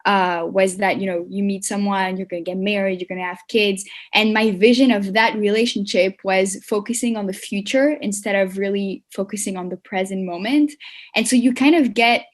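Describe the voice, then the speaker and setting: A young woman in her twenties, originally from France, guesting on a podcast. She talks casually and chattily, looping through fillers and self-corrections as she goes. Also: mid-range voice. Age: 20s. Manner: relaxed and chatty, many fillers and restarts. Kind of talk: casual conversational interview.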